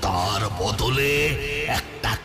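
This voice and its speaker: A man's voice: fairly low pitch, very slow. A man voicing a menacing, boastful cartoon villain who taunts theatrically and repeats phrases for emphasis. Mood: gloating, demanding. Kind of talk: children's cartoon voice acting. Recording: roomy echo, loud music behind.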